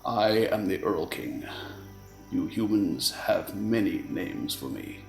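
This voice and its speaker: Haughty evil elf king for a radio play, super creaky, slow, roomy sound. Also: deep voice, lively intonation, faint music behind, heavily acted. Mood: grand, menacing. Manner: theatrical, drawling disdain. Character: haughty, sinister male fae king, grandly condescending.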